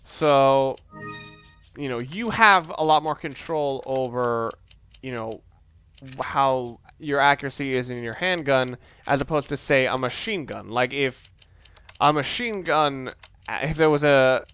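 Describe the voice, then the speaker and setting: A man's voice: medium pitch, expressive, measured pace, fairly bright tone, clean tone. A young man giving casual, rambling commentary as he plays a video game, often interrupting himself. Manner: rambling, self-interrupting, conversational. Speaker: let's play host. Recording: faint background noise, game sounds underneath. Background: video game sound.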